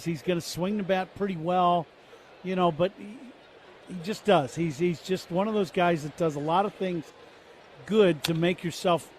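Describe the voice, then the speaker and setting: A man's voice: high voice, slight background noise. A man giving casual, rambling color commentary on a baseball radio broadcast.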